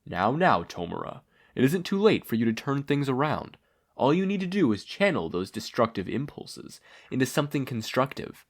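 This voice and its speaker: A reader voicing a scheming older male villain mentor, measured and patronising, in a story. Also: medium pitch, big pitch swings, voice pushed up. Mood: coaxing, smug.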